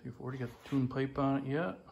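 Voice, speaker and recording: A man with an average, everyday pitch talks casually and rambles as he walks around a machine in his garage. He keeps saying "like I say". It is a handheld phone recording with faint background noise. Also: brisk, vocal fry, darkish tone, muffled recording. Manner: plain-spoken, rambling, repeats "like I say". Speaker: hobbyist restoring an old snowmobile. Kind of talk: casual walkaround commentary. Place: garage workshop. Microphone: handheld phone camera.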